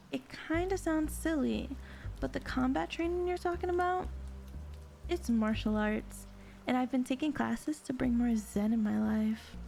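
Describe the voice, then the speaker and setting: A woman's voice: fairly high pitch, vocal fry, dark tone. A woman playing a sly, sweet-talking con artist in an audio drama, with a sassy, teasing, self-assured delivery. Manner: sassy, drawling terms of endearment, teasing.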